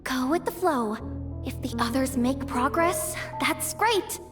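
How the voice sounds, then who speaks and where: A woman's voice: high voice, expressive, slow pace, music in the background. A woman playing a feisty, self-reliant young hacker who mutters theatrically to herself in a video game. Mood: self-coaxing, plotting. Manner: exasperated, theatrical muttering and self pep talk. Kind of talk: animated video game voice acting.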